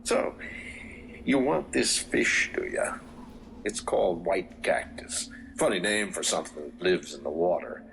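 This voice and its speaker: Sly, gravelly low-voiced old-school fisherman. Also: big pitch swings, measured pace, fairly bright tone, faint music behind, heavily acted, rough recording. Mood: sly bargaining. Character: sly gravelly fisherman trading fish for a boot. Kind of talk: video game character acting.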